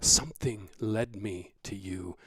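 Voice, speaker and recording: An earnest, grieving divinity scholar in an audio drama. His low voice is formal, measured and solemn, recorded close and dry, as he recites a letter. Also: a bit bright, slightly rough, dry recording, exaggerated voice. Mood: solemn, hopeful.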